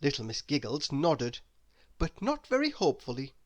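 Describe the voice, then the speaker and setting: A woman reading a children's story aloud, expressive and deliberate, with dramatic emphasis. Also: low voice. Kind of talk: children's read-aloud narration.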